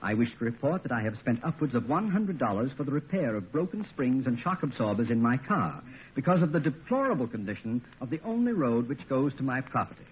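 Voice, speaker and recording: An actor playing a smug, unflappable lawyer and family friend in a 1950s radio comedy, wry and teasing. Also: male voice, mid-range voice, very animated, brisk, close to an everyday voice. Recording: tinny, noisy 1950s radio recording.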